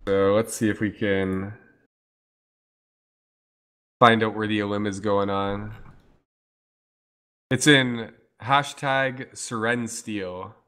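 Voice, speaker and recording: Hoarse, chatty male game caster. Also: fairly low pitch, steady tone, slow, pauses often, sharp tone. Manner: talkative, playful, hypes the chat. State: hoarse, losing his voice. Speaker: esports caster. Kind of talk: casual live game commentary.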